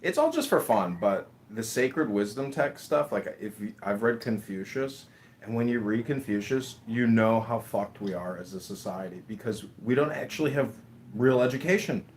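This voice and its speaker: A man's voice: fairly low pitch, lively intonation, normal speed, a little mellow, slight background noise. A man talking casually in long rambling stretches, swearing and laughing as he makes his points. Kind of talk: casual conversation.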